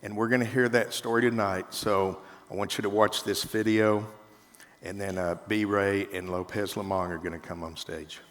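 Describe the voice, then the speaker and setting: A man's voice: on the low side, steady tone, normal speed, fairly bright tone, slight background noise. A man hosting a conference, introducing the keynote in a reflective, conversational way as he builds his point with examples. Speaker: event host introducing the keynote. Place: conference stage in a large hall. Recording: long hall reverb.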